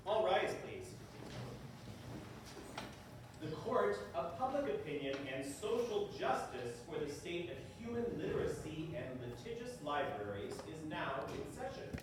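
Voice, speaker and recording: A man's voice: on the high side, big pitch swings, normal speed, few pauses. A man playing a self-assured, wry judge in a stage reading, speaking in a formal, declamatory way. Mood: formal, pompous. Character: Judge Jubal Harshaw, self-assured, wry literary courtroom judge. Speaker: stage actor. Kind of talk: theatrical readers theater monologue. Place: stage in an echoey room. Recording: very echoey hall, distant mic, noisy.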